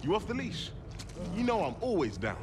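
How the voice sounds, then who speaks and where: An actor playing a cheeky, upbeat young street racer in a video game cutscene, talking casually and playfully. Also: male voice, high-pitched, wildly swooping pitch, moderate pace, noisy background. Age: young adult.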